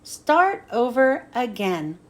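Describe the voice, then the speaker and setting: Woman reading as a bossy old-school judge car, grumbling, commanding, animated pitch, storybook character. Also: slow, heavily acted. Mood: stern. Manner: gruff, commanding. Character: stern, grumbling male town judge car.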